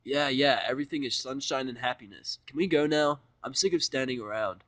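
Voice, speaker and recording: A man's voice: mid-range voice, a little fast, a bit bright, no room sound, natural voice. A man voicing a sullen, guarded and impatient male outsider in a story reading. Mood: irritated.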